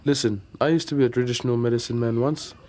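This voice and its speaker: Man as quick-talking village medic. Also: mid-range voice, fairly even intonation, darkish tone, close to an everyday voice. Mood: explaining. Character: plain-spoken male village medical officer. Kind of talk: acted educational film dialogue.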